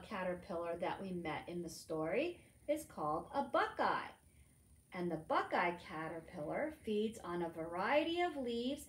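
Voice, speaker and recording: A middle-aged woman reads a picture book aloud to preschoolers in an expressive, teacherly manner, adding simple asides. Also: fairly low pitch. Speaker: librarian storytime reader. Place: library room among bookshelves, indoors. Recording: roomy indoor echo.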